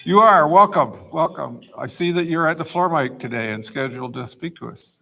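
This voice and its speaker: A man's voice: a little high, normal speed, vocal fry, a little hiss or hum. A man chairing a club meeting, welcoming guests one by one. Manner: welcomes guests warmly, brief. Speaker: Rotary club president. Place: large meeting hall.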